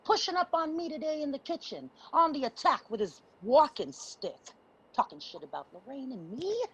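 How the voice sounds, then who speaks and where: An actress playing a tough, defensive homeless friend with an American accent, husky and blunt, in a stage play reading with slightly muffled sound. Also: female voice, high-pitched, sing-song, normal speed. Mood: scornful. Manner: blunt, punchy street talk.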